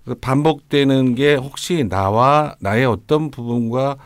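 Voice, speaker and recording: A man speaking as a psychiatrist on a radio panel, giving conversational advice in a measured manner. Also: mid-range voice, no room sound.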